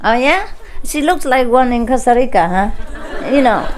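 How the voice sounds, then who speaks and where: A woman's voice: on the high side, expressive, moderate pace. A woman with a Vietnamese accent speaking informally to a gathering as a spiritual teacher, playful and teasing, often laughing as she talks. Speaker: spiritual teacher addressing followers. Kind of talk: informal talk with joking banter to an audience. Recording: clear background noise, live gathering.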